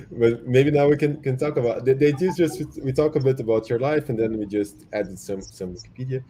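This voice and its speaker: A man hosting a casual interview, speaking haltingly and repeating words as he searches for them. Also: medium pitch, mellow. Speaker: host. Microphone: video call. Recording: faint background noise.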